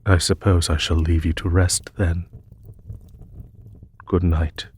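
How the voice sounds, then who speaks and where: A man playing a noble, weary, peace-seeking demon emperor in a fantasy audio roleplay, speaking in a formal, measured way. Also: deep voice, level tone, a little mellow, breathy edge, full character voice. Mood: gentle. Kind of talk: fantasy audio roleplay, spoken softly to one listener.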